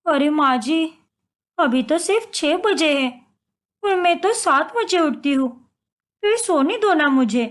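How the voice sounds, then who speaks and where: A woman's voice: high-pitched, expressive, unhurried. A woman voicing a scheming, deceitful young daughter-in-law in a story, whining and complaining dramatically. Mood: whiny.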